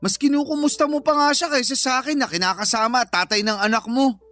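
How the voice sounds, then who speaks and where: A man's voice: extremely high pitch, steady tone, fast, bright, forceful tone, faint music behind. An actor playing a jealous, sulky young father in a radio drama, accusing and whiny, with a Filipino accent.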